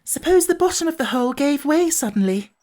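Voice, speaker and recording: A man's voice: extremely high pitch, animated, brisk, darkish tone, pitched above the performer's own voice. A man voicing an anxious, imaginative little girl in a storybook reading. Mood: fretful. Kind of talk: audiobook character voice.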